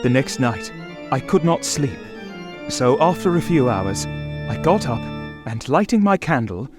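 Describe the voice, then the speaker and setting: An actor playing an anxious, imprisoned gentleman recounting horrors in a dramatic vintage radio style. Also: medium pitch, lively intonation, a little slow, close to an everyday voice. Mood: tense, haunted. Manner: dramatic, haunted, emphatic. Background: dramatic music.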